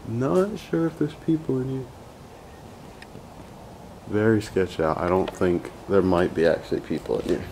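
A young man casually narrating a handheld vlog while exploring an abandoned factory, relaxed and slangy, joking with his viewers. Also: on the low side, a little slow, long pauses, noisy background. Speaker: urban explorer vlogger. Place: abandoned factory, large indoor space. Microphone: handheld camera mic.

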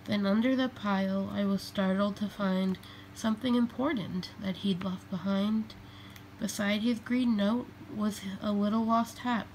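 A woman reading a children's picture book aloud in a deliberate way, phrase by phrase. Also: medium pitch, steady tone, a little mellow, poor audio. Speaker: storybook reader. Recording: faint background noise, home recording.

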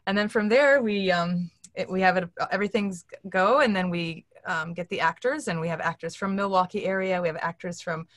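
A woman's voice: fairly low pitch, fairly quick, few pauses, fairly bright tone, no room sound. A middle-aged woman explaining things in a casual, conversational way as an interview guest. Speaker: interview guest, audio drama producer. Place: indoor room, seated on a leather couch.

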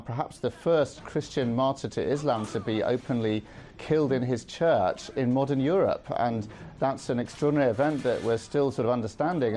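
A middle-aged man speaking as a guest in a live news interview, measured and earnest, with frequent ums. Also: mid-range voice, a little mellow. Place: studio with city view.

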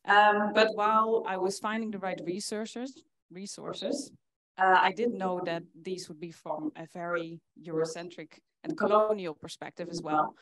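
A young woman from the Netherlands giving a conference talk in an earnest way, often pausing with fillers. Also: fairly low pitch, fairly bright tone, rough recording. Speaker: conference speaker. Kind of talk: presentation talk. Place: conference hall with audience.

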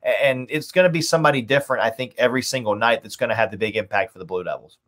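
A man's voice: medium pitch, very fast, fairly bright tone. A man in his thirties talking through team analysis conversationally as a sports announcer on a video call, stressing points by repeating words. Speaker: radio play-by-play announcer, podcast guest. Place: small home room, indoors. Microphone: video call, webcam framing.

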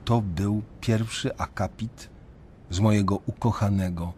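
A man plays a conscience-stricken young banker in a radio drama. He has a fairly low voice and tells his own story in a measured, brooding way. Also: fairly even intonation, darkish tone, a little hiss or hum, close to an everyday voice. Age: young adult. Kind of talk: first-person radio drama narration.

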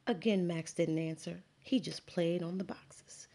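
A woman, a teacher, reading a children's picture book aloud with slow, expressive storytelling. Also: fairly low pitch. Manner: slow, expressive storytelling with sound words and character voices.

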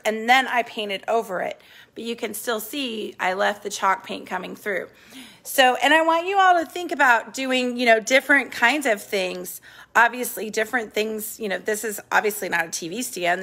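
A woman's voice: on the high side, pushed and bright. A middle-aged woman who owns a vintage shop, chatting enthusiastically through a casual home tour of her apartment while filming on a phone. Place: indoor apartment living room. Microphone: handheld phone filming the room.

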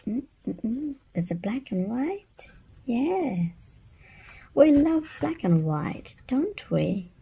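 A woman hosts a read-aloud for very young children. She speaks very slowly, with a soft, mellow tone and some creak. Her delivery is sing-song and repetitive, as if talking straight to toddlers. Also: a little high, sing-song. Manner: sing-song, repeating words playfully, addressing small children. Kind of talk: picture-book read-aloud for babies and toddlers.

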